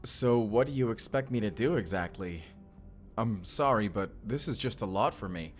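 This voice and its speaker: A man's voice: lowish voice, animated, normal speed, soft background music, natural voice. A man playing a bewildered, apologetic reluctant recruit in a time-travel drama, speaking informally and hesitantly. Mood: overwhelmed, questioning. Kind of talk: cinematic audio roleplay acting.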